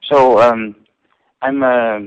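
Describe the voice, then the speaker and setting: A man from the Netherlands, a company founder giving an interview. He speaks in a measured way with many ums and uhs. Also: mid-range voice, fairly even intonation, clear, resonant tone. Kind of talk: interview over the phone. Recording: tinny phone line.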